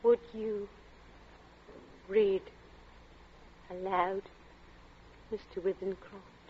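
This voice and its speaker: An actress playing a grave, courteous wife in a restrained, formal 1940s radio drama style. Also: female voice, medium pitch, flat delivery, very slow. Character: grave, courteous wife, quietly eerie hostess. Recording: muffled, noisy vintage radio recording.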